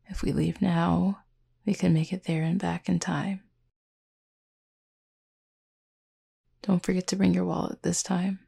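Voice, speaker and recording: Woman voicing a husky, gravelly, reserved cupid roommate. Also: lowish voice, full character voice. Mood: wryly amused. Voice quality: husky, breathy, often near whisper. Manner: formal, measured, gently wry. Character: reserved, formal, tender supernatural cupid roommate. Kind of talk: intimate audio roleplay acting to the listener.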